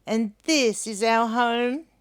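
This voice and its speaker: A woman voicing a gentle old male bilby from a storybook, tender and drawn-out, with an Australian accent. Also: fairly high pitch, pitched above the performer's own voice.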